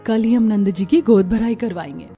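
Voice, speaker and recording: A woman's voice: medium pitch, moderate pace, warm tone, soft background music, close to an everyday voice. A woman playing a caring, dutiful young sister-in-law in a cartoon family story. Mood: bright, organizing.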